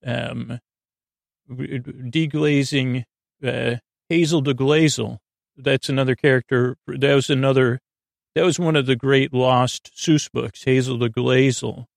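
A man hosting a bedtime podcast in a meandering, halting way, with improvised digressions and words that trail off unfinished. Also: mid-range voice, steady tone, vocal fry, dry recording, studio quality. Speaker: podcast host. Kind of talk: rambling improvised bedtime monologue.

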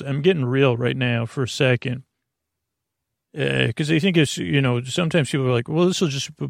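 Creaky, meandering male sleep podcast host. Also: on the high side, dry recording, studio quality. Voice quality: creaky, gravelly voice. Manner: meandering, halting, repeats himself, gently goofy. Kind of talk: rambling bedtime monologue to help listeners sleep.

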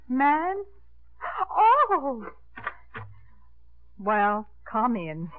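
A woman's voice: on the high side, huge pitch swings, extremely slow, full character voice. An actress playing a flustered, proper small-town woman mayor and mother in a 1950s radio drama, hesitant and primly polite. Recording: tinny, band-limited 1950s radio broadcast.

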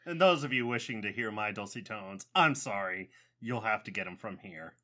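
Podcast guy w/ a radio announcer voice, bright and super lively. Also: fairly low pitch, normal speed. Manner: announcer-like voice, laughs often. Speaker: podcast co-host. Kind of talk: casual chat.

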